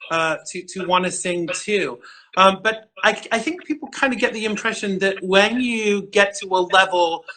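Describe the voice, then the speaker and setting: A man's voice: high-pitched, normal speed, pushed and bright, rough recording. A middle-aged man hosting a live interview show, chatty and effusive, tripping over his words with enthusiasm. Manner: effusive, chatty, lots of fillers and restarts, fan enthusiasm. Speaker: show host. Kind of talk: casual livestream interview.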